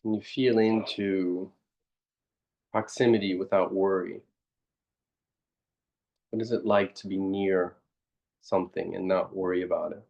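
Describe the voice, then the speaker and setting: Low, even-toned male meditation guide. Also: gravelly, dull audio. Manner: unhurried, reflective, questioning, spoken in short phrases. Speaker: meditation teacher.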